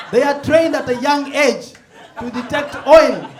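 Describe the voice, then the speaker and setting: High-voiced man doing stand-up. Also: lively intonation, takes it slowly, some chatter behind, slight background noise. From South Sudan. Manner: laid-back, chuckles at his own jokes, builds to loud punchlines. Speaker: stand-up comedian. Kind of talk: stand-up comedy set. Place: comedy club stage. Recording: roomy live club sound.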